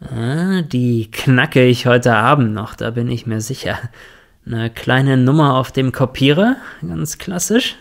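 A self-satisfied, lecherous executive in an audio drama. His voice sits at a medium pitch, and he talks like someone used to giving orders. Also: expressive, slow pace. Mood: smug, leering. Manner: smug, smooth-talking, commanding. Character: smug, lecherous, demanding male company boss. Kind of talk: German audio drama acting.

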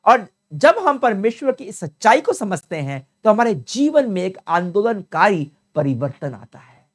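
A man's voice: high-pitched, big pitch swings, measured pace. An older man teaching a Bible study with a sore throat, earnest and explanatory, repeating key terms, speaking into a lapel mic in a study.

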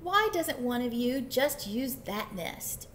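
A woman's voice: on the high side, expressive, takes it slowly, a bit bright, a little hiss or hum. A woman voicing a silly, scheming male cat from a children's picture book, calling out in an urgent, persuasive way. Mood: coaxing.

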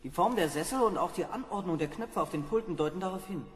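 A man playing a defensive, excitable spaceship officer in a German audio drama, with emphatic, argumentative diction. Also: sits high, quick pace. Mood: intrigued. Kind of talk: 1970s German children's audio drama acting. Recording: reverberant vintage audio drama.

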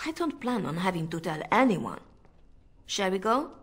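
Husky, commanding seductress spymaster. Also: female voice, mid-range voice, big pitch swings, moderate pace. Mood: cool, reassuring. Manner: coaxing, commanding, condescending endearments. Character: sly, controlling, seductive woman spymaster. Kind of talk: video game voice acting.

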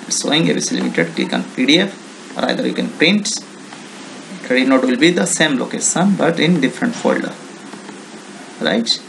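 Male software demonstrator, Indian accent, creaky voice, halting unscripted tutorial delivery, slightly noisy screencast audio. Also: mid-range voice. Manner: halting, non-native English phrasing with repeated check words.

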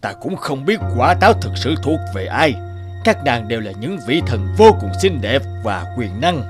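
A man acts a supreme god in a myth cartoon. He is stately, commanding and deliberate, with music faintly behind him. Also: mid-range voice, big pitch swings, close to an everyday voice. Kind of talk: animated myth dialogue.

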